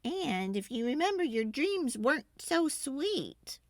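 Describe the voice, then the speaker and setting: A woman's voice: high voice, sing-song, slow pace, dry recording, studio quality, voice pushed up, full character voice. A woman voicing a wary, grumbling older storybook wife who gives in reluctantly, speaking with emphatic warnings.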